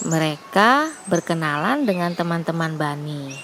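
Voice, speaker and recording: A woman's voice: low voice, animated, measured pace, a bit bright, a little hiss or hum. A woman narrating a scripted children's fable as a storyteller.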